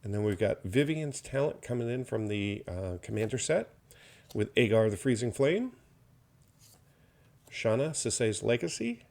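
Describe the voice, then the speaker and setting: A slightly hoarse man opening trading card packs, naming each card in a steady listing commentary with mild enthusiasm. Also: mid-range voice, normal speed, pauses often. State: slightly hoarse, recovering from illness. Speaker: card box opener. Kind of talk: unboxing commentary.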